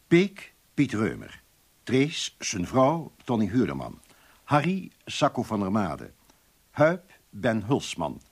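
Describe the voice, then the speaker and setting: Slow, very animated male announcer. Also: on the low side, slightly rough. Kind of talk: scripted credits narration.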